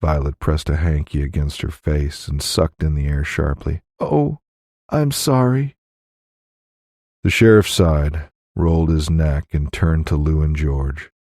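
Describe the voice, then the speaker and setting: A man reading scripted fiction as an audiobook narrator, in a measured, deliberate way on a close mic. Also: extremely low pitch, flat delivery, darkish tone, no room sound.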